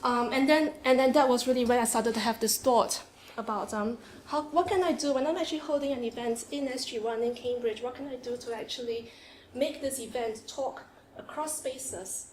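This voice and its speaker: A woman with a Singaporean accent giving an academic conference talk in a seminar room, lively, with frequent "um"s and laughs. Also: a little high, normal speed, few pauses. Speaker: conference speaker. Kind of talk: academic presentation. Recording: echoey room, faint noise.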